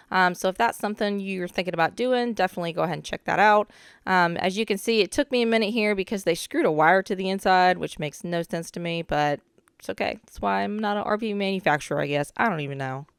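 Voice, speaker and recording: A young woman, a little hoarse from a cold, casually narrating her own renovation footage with chatty asides. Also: medium pitch, no room sound, clean studio sound. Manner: chatty, relaxed, with frequent "um" asides. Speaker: DIY renovation vlogger.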